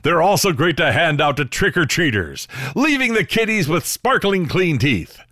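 A man's voice: on the high side, very animated, normal speed, pushed and bright, no room sound, clean studio sound. An actor playing a theatrical, earnest male host of an old-time radio show, with polished scripted delivery. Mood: folksy, upbeat. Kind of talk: 1930s radio narration and sponsor read. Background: faint music.

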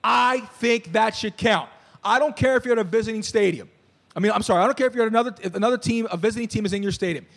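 A man hosting a casual sports podcast, animated, often stumbling and restarting his sentences. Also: sits high, rapid-fire. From Philadelphia area. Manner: animated, stammering restarts, mock play-by-play calls. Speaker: podcast host.

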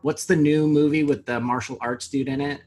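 A man co-hosting a casual podcast, easygoing and relaxed as he chats. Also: medium pitch, fairly quick, slight background noise. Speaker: podcast co-host.

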